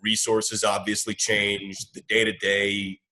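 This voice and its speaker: A man's voice: fairly low pitch, completely flat delivery, slow pace, pushed and bright, low-quality recording. An American man hosting a casual sports chat, asking questions in a rambling, conversational way. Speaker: interviewer, host.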